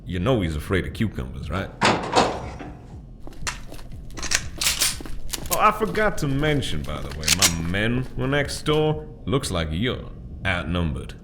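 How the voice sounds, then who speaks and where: An actor playing a greedy, threatening arms-dealing gang boss who taunts people, in an audio drama. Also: male voice, sits low, wildly swooping pitch, moderate pace, heavy background noise. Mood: gloating.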